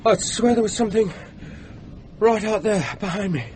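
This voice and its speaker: An actor playing an amiable, shaken stranded motorist, talking in a mild, conversational way in a radio drama. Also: male voice, high-pitched, normal speed, darkish tone, close to an everyday voice. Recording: noisy radio drama recording.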